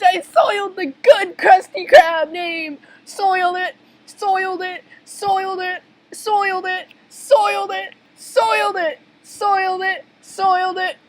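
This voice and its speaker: Overearnest boyish fry cook for a cartoon a woman acts him: high, panicky, wailing, insistent repetition.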